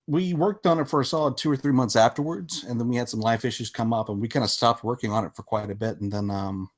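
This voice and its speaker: A man speaking casually as a podcast guest, with halting, hesitant phrasing as he explains things. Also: mid-range voice. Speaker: podcast guest, visual novel developer. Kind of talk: casual interview talk.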